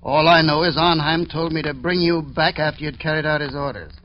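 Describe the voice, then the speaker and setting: Actor as high-pitched menacing gangster henchman. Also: fairly quick, lightly acted. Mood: bullying, impatient. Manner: tough, sneering, impatient. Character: hard, menacing gangster henchman bullying an underling. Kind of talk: 1940s radio acting. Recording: dull, noisy old radio recording.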